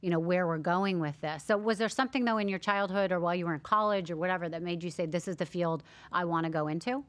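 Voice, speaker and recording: A woman with a fairly low voice hosts a podcast from a studio, chatting warmly and quick to affirm her guest. The sound is close and dry into a studio microphone. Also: dry recording. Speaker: podcast host. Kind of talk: scripted intro then casual interview chat. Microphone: studio microphone on boom arm.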